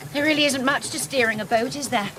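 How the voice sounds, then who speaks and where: An actress playing a prim, determined missionary spinster who speaks in a proper, insistent manner. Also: female voice, high voice, noisy background, close to an everyday voice. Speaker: actor. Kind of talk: radio drama acting.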